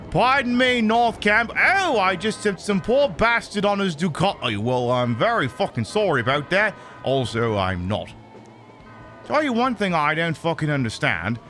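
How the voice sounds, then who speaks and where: Man putting on a sweary, animated, high-pitched British bloke. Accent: put-on British. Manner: sweary, rambling, mock-indignant. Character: cheeky, foul-mouthed, exasperated British bloke. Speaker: game streamer. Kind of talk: improvised live gaming commentary. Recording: music behind the voice. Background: game music.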